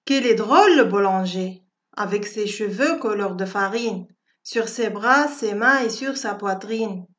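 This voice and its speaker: A woman reciting a poem for schoolchildren in a measured, deliberate, carefully phrased way. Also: medium pitch, expressive, fairly bright tone. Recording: dull, lo-fi audio.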